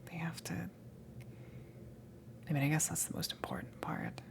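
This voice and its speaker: A woman voicing a friendly, flustered alien ship crewmember in a soft ASMR roleplay, speaking in a hesitant, breathy half-whisper. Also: low voice, wildly swooping pitch, exaggerated voice. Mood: flustered, embarrassed. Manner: hesitant, awkward, giggly, halting.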